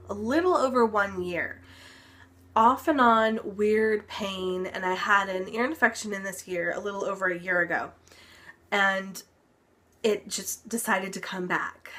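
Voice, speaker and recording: Slow, unhurried young woman vlogger, stuffy-nosed and recovering, rambling casual update. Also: mid-range voice, bright, forceful tone. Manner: chatty, lots of ums, occasional laughs. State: congested, recovering from illness. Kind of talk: casual health update vlog.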